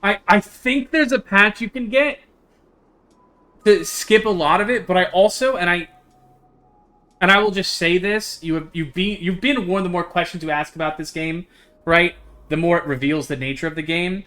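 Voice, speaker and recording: A man's voice: high voice, normal speed, bright, forceful tone. A man livestreaming a game, chatting casually in a jokey, slangy way and reading its text aloud. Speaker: game streamer.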